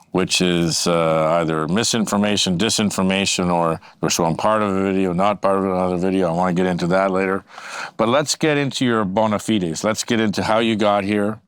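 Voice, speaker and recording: A man's voice: sits low, moderate pace, smooth, no room sound. A middle-aged man interviewing a guest, blunt and conversational. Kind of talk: casual interview.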